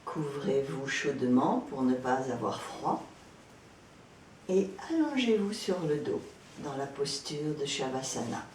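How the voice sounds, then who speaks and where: A woman leading a guided yoga relaxation as an instructor, speaking calmly and gently with frequent pauses. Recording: roomy echo, distant mic, background noise, rumble.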